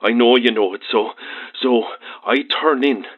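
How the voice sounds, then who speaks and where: Frightened Irish trucker on a tinny phone. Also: male voice, mid-range voice. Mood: haunted, hushed. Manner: halting, stammering, rambling colloquial storytelling. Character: frightened, agitated male truck driver calling late-night radio. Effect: phone line. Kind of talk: audio drama acting. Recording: muffled, tinny phone line.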